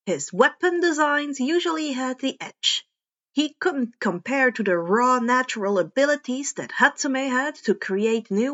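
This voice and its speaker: A woman reading fan fiction aloud as an expressive narrator, now and then stumbling over words. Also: a little high, highs cut off. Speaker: podfic narrator.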